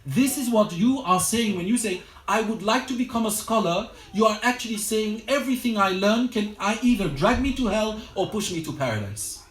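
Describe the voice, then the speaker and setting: Man, high pitch, earnest religious teacher, roomy echo; a lecture circle talk. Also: expressive, moderate pace, few pauses. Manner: earnest, exhortative, with frequent restarts. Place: lecture room.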